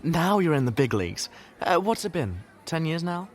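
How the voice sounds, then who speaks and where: A man's voice: on the high side, big pitch swings, moderate pace. An actor in an audio drama playing a playful, flamboyant adventurer who chats and teases his friends theatrically. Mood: friendly, chatty. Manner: teasing, flamboyant, chatty. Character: playful, theatrical, teasing male adventurer and mentor.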